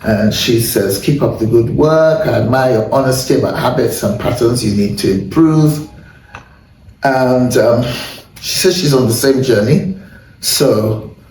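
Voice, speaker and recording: A man hosting a casual wellness chat, candid and self-deprecating, with a hoarse voice and frequent throat clearing. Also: mid-range voice, unhurried, darkish tone. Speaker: host. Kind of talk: casual chat and viewer shout-outs. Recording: roomy echo, far from mic, faint noise.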